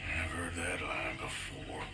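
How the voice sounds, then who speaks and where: An actor playing a dry, sceptical outlaw gunslinger in a video game, laconic and wry. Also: male voice, sits low, slow. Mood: doubtful. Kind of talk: video game character acting.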